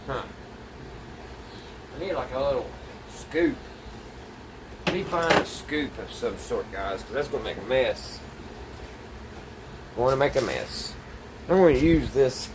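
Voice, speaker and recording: A plainspoken, folksy man thinking aloud as he casually narrates hands-on work to viewers. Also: mid-range voice. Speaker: DIY vlogger. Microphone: phone camera set away from speaker. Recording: distant mic, noisy with steady background hum.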